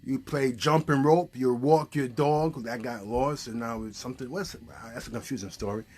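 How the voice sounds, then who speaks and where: A man's voice: mid-range voice, moderate pace, a little mellow. A man hosting a puppet show, chatting casually and encouragingly and prompting with questions. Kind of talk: casual, unscripted chat.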